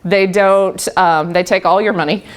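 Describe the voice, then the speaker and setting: A woman's voice: mid-range voice, fairly even intonation, normal speed. Middle-aged woman, plain medium voice, earnest storyteller who laughs a lot and trips over her own openings. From Texas. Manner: warm, laughs often, many restarts. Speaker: guest speaker. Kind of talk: personal talk to a live group.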